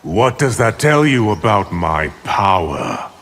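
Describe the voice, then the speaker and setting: An actor voicing a cold, scheming, arrogant sorcerer in a video game, haughty and deliberate. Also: male voice, lowish voice, big pitch swings, fairly bright tone, exaggerated voice. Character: cold, scheming, arrogant male sorcerer, power-hungry villain. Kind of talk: video game villain dialogue.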